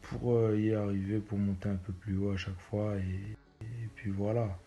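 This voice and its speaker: A young man. His voice is low and dark, and his pitch stays flat and even. He answers interview questions in a loose, halting way, often pausing and repeating words as he goes. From Belgium. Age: 20s. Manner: hesitant, many fillers and restarts. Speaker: footballer interviewee.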